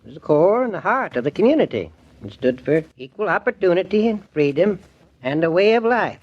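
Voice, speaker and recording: A man's voice: high voice, very animated, a little fast. An actor playing a plainspoken, earnest older small-town man in a 1940s radio drama, with homespun grammar. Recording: dull lo-fi old radio broadcast.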